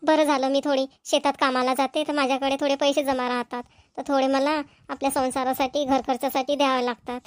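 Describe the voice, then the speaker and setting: A woman's voice: sits high, level tone, fairly quick, dry recording, lightly acted. A woman playing a practical, nagging village wife and mother in a cartoon comedy, with a Vidarbha Marathi accent.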